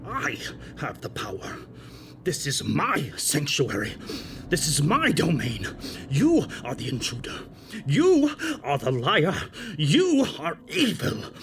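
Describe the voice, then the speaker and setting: A man's voice: fairly high pitch, huge pitch swings, slow pace, background noise, full character voice. A man playing an unhinged, furious and self-pitying corrupt older man, snarling with theatrical menace in an audio drama. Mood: menacing fury. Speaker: voice actor in a fan audio drama. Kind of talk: dramatic villain acting.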